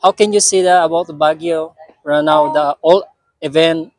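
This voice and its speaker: A woman with a Filipino accent hosting an informal vlog interview, speaking in halting, repetitive phrases. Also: sits low, steady tone, a little mellow, no echo, studio quality.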